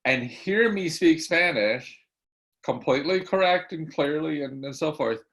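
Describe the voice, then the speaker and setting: A man moderating a talk, interjecting in a measured, friendly way with light laughs. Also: fairly high pitch, a bit bright. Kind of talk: conversational interjection.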